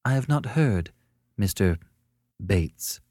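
A man voicing a polite, quiet young woman with a restrained, questioning manner in an audiobook story. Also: lowish voice, lively intonation, takes it slowly, no room sound, clean studio sound. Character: polite, subdued young woman answering the telephone.

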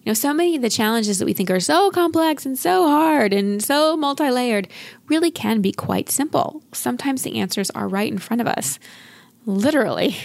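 A woman's voice: fairly high pitch, expressive, moderate pace, creaky. A woman hosting a coaching podcast, talking in an encouraging, instructive manner as she explains. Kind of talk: life coaching talk, explanatory.

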